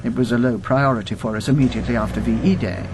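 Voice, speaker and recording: An actress playing a steely elderly former intelligence officer in a radio drama, speaking precisely and with cold insistence. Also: female voice, a bass voice, a little mellow, a little hiss or hum. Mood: measured, knowing. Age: 70s or older. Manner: precise, deliberate, coldly insistent.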